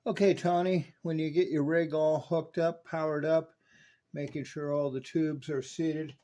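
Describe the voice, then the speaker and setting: A man's voice: fairly high pitch, little pitch movement, measured pace, dark tone. A man working as a radio repair technician, methodically narrating a hands-on demonstration for a customer step by step. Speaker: radio repair technician presenting his restoration work.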